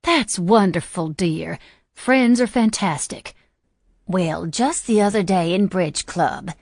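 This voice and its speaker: Sweet old granny type, slow and lilting, chatty and fussing, kinda gravelly, woman putting her on. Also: lowish voice, darkish tone, no room sound, clean studio sound. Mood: sweet, doting.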